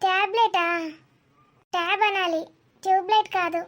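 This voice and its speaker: A woman's voice: very high-pitched, fairly even intonation, normal speed, lo-fi sound. A woman playing a young city visitor in a comedy cartoon, polite and questioning, puzzled, reserved and slightly impatient.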